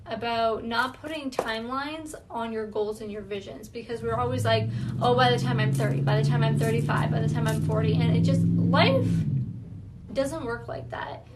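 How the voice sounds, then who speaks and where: Earnest woman thinking aloud, higher voice. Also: heavy background noise. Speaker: wellness vlogger. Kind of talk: reflective self-awareness talk.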